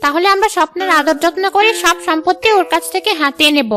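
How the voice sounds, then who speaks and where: A woman's voice: very high voice, fast, bright, forceful tone. A woman voicing a scheming, greedy older mother-in-law in a cartoon, with a persuasive, calculating manner. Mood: coaxing, calculating. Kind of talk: Bengali cartoon dialogue.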